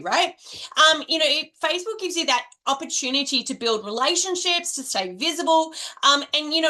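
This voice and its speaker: Middle-aged Australian woman, high and bright, chatty coach who keeps checking in with "right?". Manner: chatty, ends nearly every point with "right?". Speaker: direct selling coach. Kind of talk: casual coaching monologue to camera.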